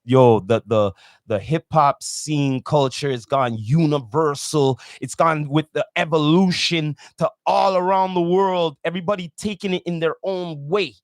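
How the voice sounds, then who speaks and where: A man hosting a casual podcast interview, talking in excitable slang and often repeating words. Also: fairly high pitch, a little slow, no echo, clean studio sound. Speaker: podcast host.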